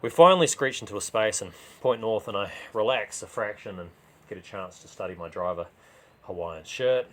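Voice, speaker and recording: A man's voice: lowish voice, fairly bright tone. A man reading a first-person crime story as a dramatised narration.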